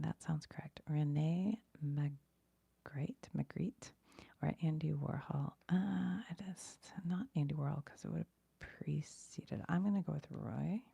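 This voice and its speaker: Breathy whispering woman with creaky edges. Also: low voice, dry recording. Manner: hushed, musing aloud. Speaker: ASMR streamer reading trivia questions and viewer comments. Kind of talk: whispered ASMR trivia read-aloud with casual asides.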